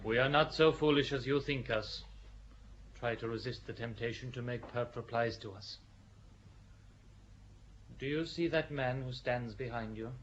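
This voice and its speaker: A man's voice: mid-range voice, a little slow, pauses often, a bit bright, highs cut off, slight background noise, lightly acted. A man playing an earnest, pitying churchman in an audio drama, speaking in a solemn, persuasive manner. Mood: grave reproof. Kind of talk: audio drama acting of a stage play.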